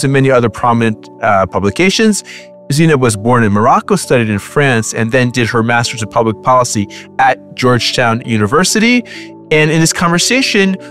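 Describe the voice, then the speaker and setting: A man hosts a podcast in a measured, announcer-like way, introducing his guest in a steady mid-range voice. Soft music plays faintly behind him. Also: mid-range voice. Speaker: podcast host. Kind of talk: scripted intro and interviewing.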